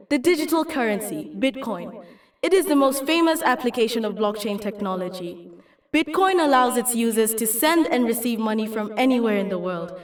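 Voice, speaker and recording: A woman's voice: a little high, roomy sound, distant mic, natural voice. A woman playing an earnest, idealistic young Kenyan activist in a dramatic motion comic, explaining and persuading.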